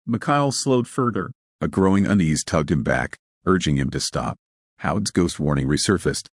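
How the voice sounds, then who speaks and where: A man's voice: low voice, expressive, moderate pace, dry recording, studio quality. A man reading scripted fiction as a story narrator.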